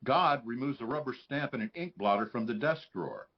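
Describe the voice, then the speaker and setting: A man's voice: mid-range voice, lively intonation, brisk, muffled recording. A man reading scripted stage directions as narrator at a live table read.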